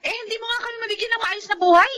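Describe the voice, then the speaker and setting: A woman's voice: very high-pitched, very fast, bright, forceful tone, slight background noise. A woman acting in a Filipino drama as a fed-up, struggling wife and mother who nags and reproaches. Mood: indignant, suspicious. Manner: nagging, reproachful.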